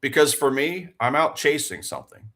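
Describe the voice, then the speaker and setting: A man's voice: fairly high pitch, sing-song, moderate pace, a bit bright. A man in his forties hosting a live trading stream. He talks in an earnest, fired-up way, repeats phrases for emphasis and asks the viewers rhetorical questions. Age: 40s. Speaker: livestream trading host. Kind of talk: live-stream motivational chat and trading commentary.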